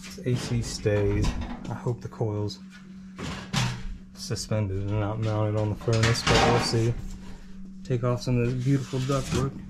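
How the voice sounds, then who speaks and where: A man's voice: medium pitch, flat delivery, unhurried, pauses often. A man in his twenties with an American accent, giving offhand, slangy commentary while working, on a noisy handheld camera. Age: 20s. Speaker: HVAC installer vlogging. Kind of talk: casual on-the-job commentary. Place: indoor basement job site.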